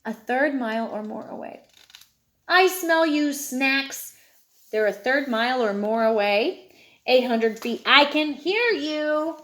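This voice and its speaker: Lady doing a braggy excitable shark for a kids storybook, high voice, eager and showy. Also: slow, exaggerated voice. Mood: excited, boastful.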